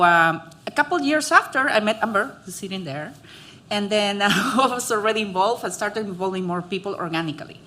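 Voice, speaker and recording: A woman's voice: lowish voice, sharp tone. A woman, speaking on a panel with many fillers and restarts. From Peru. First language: Spanish. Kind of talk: conversational panel talk.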